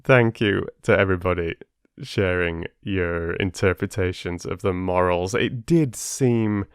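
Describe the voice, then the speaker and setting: A man reading aloud as an audiobook host, with an expressive, measured storytelling manner. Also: low-pitched, clear, resonant tone, no echo, clean studio sound. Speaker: audiobook reader and channel host. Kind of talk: children's story narration.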